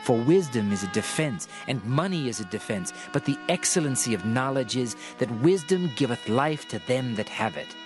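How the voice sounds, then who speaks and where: A man narrates scripture as a dramatized audio Bible, with music playing behind him. His mid-pitched voice is solemn and measured, setting out each phrase with deliberate, oratorical care. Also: animated. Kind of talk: dramatized King James Bible reading.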